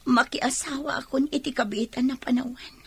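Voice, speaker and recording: An actress playing a sorrowful young bride, dutiful and apologetic, in an Ilocano radio drama. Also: female voice, high voice, fairly even intonation, normal speed, breathy edge, no room sound, heavily acted. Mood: sad, apologetic. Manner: tearful, apologetic. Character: young bride Amanda, sorrowful, dutiful daughter forced to marry.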